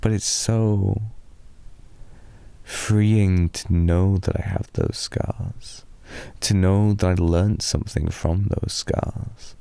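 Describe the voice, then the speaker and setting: A British man softly rambling in an improvised ASMR boyfriend roleplay, telling a drowsy, meandering story to lull a listener to sleep. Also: low-pitched, fairly even intonation, creaky, clean tone, no echo. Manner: soft, slow, drowsy storytelling.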